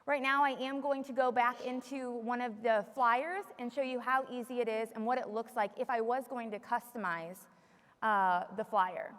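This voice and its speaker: A woman with a fairly high voice works as a software trainer, walking listeners through each step in plain, explanatory language. Her voice carries a long room reverb, as in a live training session. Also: fairly even intonation, moderate pace. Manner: explanatory, repeats audience questions for the room. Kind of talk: live software tutorial with audience questions.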